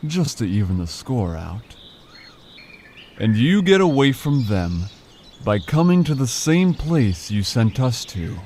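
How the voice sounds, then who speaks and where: An actor playing a smug, vengeful alien gang leader in an audio drama, taunting with mock politeness. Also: male voice, mid-range voice, sing-song, takes it slowly, darkish tone. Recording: faint background noise.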